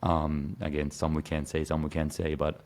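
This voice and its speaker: A man's voice: extremely low pitch, completely flat delivery, normal speed, a little mellow. A man in his thirties from Egypt, a podcast guest talking casually with lots of fillers and restarts. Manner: rambling, many fillers and restarts. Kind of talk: casual conversation.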